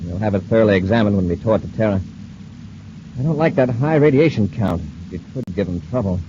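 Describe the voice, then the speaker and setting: Fast-talking, commanding space patrol commander played by an actor. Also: male voice, fairly low pitch, animated, close to an everyday voice. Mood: businesslike. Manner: crisp, decisive, commanding. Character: brisk, authoritative male space patrol commander. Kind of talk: old-time radio serial acting. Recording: muffled old radio recording, faint hiss.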